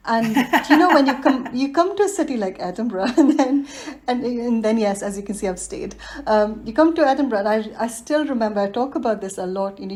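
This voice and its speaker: Woman from india, 40s, chatty and reflective, laughs a lot, lots of ums. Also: medium pitch. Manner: warm, reflective, laughs often, many fillers. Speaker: guest, environmental design professional. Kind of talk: conversational podcast interview.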